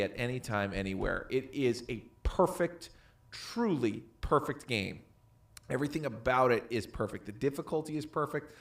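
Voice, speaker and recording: A man co-hosting a podcast, talking casually and emphatically, repeating words to drive opinions home. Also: mid-range voice. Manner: emphatic, repetitive for effect. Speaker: podcast co-host. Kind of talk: casual opinionated chat about games.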